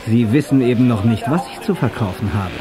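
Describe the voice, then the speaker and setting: An actor playing a confident, daring young race driver in an audio drama, on a noisy old cassette. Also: male voice, medium pitch, animated, fairly quick, a crowd in the background, natural voice. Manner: self-assured.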